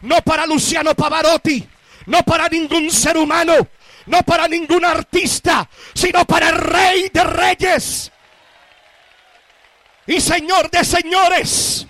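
A man's voice: very high-pitched, steady tone, takes it slowly, bright, forceful tone, no echo, a faint crowd. A man with a Puerto Rican accent preaching a sermon to a congregation, emphatic and repetitive, addressing his listeners directly. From Puerto Rico. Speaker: guest preacher.

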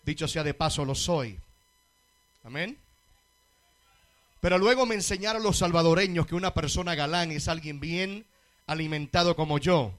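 A man's voice: on the high side, moderate pace, pauses often, no echo. A man with a Puerto Rican accent preaching a sermon to a congregation, emphatic and repetitive, addressing his listeners directly. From Puerto Rico. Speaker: guest preacher.

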